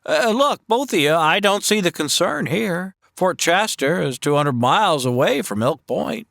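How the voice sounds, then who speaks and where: Someone plays an easygoing drifter cowboy who hems and haws before he speaks. The voice has a mid pitch with a wide, lively swing, recorded close and dry. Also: moderate pace, vocal fry, dry recording. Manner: hesitant, full of "uh"s. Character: easygoing soft-spoken cowboy drifter, male. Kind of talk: comedy audio drama acting.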